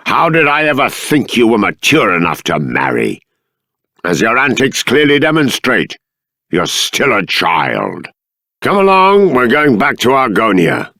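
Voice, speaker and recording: A man's voice: on the low side, vocal fry, fairly bright tone, husky, no echo, clean studio sound, exaggerated voice. An actor playing a stern, dignified middle-aged king in a video game, with formal, regal, emphatic diction. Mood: angry, scolding. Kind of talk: dramatic game character dialogue.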